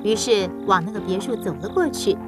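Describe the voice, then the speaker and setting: A woman narrator telling a children's story in a scripted style, with clear, measured emphasis. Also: mid-range voice, animated, over music.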